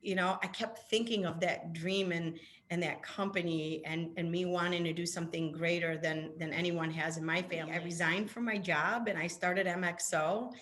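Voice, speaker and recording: Polish-born woman, low, earnest storyteller. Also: steady tone, normal speed, fairly bright tone, a little hiss or hum. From Poland. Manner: earnest personal storytelling with frequent restarts. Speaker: guest, business founder. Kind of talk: interview conversation.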